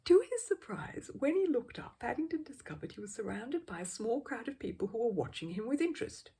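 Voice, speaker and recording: A woman reading a children's story aloud as an expressive narrator. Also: fairly high pitch, brisk, slight background noise. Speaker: audiobook reader.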